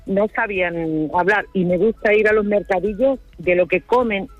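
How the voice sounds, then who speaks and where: A woman's voice: medium pitch, moderate pace, no room sound, dull audio, faint music behind. A woman calling a radio phone-in, telling a casual anecdote in a chatty, self-mocking way.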